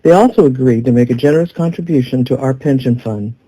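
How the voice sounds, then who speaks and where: A man playing a composed, pragmatic newspaper boss with a measured delivery in an audio drama. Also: medium pitch, warm tone, close to an everyday voice, low-quality recording. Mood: composed, dry.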